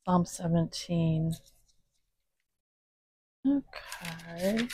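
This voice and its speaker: Slow, low-voiced woman reading scripture. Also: noisy background. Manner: slow, chatty, apologetic asides. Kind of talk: casual chat and Bible reading aloud. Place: home, indoors, voice behind camera filming a cat.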